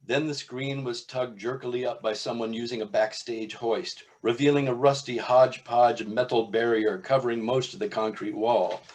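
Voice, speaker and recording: A man, an author, reading from his own novel in a measured literary style with informal asides. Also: mid-range voice.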